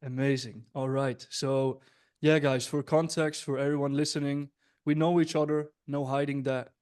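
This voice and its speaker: A young man from Switzerland hosts a casual interview with his voice at an average pitch. He sounds upbeat, friendly and direct, turning to address the listeners now and then. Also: level tone, normal speed, darkish tone, smooth. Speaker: host, business coach.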